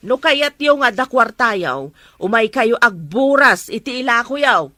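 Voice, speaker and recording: Woman playing insistent, scolding Ilocano mom, middle-aged, sharp bright tone, dry sound. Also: fairly high pitch, very animated, moderate pace, dry recording. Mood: firm insistent. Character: firm, nagging farm mother. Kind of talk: amateur family drama acting.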